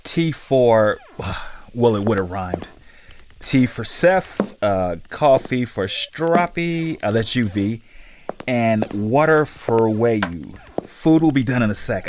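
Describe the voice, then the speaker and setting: A man acting as a dry, casually commanding boss in a sci-fi horror podcast. His voice is on the low side. He talks breezily and matter-of-factly, with slight noise in the background. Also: lively intonation, measured pace. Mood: breezy. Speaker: commanding officer of facility staff.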